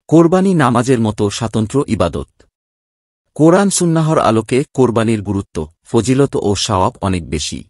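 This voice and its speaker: A man reading a scripted religious narration in a formal, measured manner. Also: lowish voice, darkish tone, no room sound, clean studio sound.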